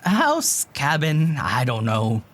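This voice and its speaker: Female, exceptionally low pitch, lively inflection, informal young wanderer for audio drama, light music behind. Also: slow, exaggerated voice. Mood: casual.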